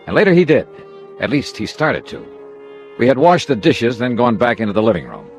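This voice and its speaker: An actor playing a wry, hard-boiled American insurance investigator in classic radio drama, clipped and plain-spoken. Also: male voice, mid-range voice, big pitch swings, fairly quick. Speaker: lead actor and narrator of a radio detective drama. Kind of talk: classic radio drama acting, first-person narration. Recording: old radio drama with music.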